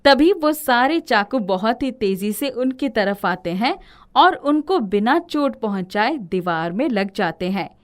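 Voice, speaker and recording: Slow, expressive woman storyteller. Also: a little high. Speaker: narrator. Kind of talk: scripted story narration.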